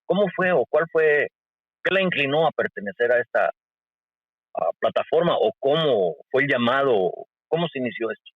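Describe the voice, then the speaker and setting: A man hosting an interview, asking questions in a halting way with many restarts. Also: medium pitch, expressive, husky, no echo. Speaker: interviewer, host. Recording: dull lo-fi call audio.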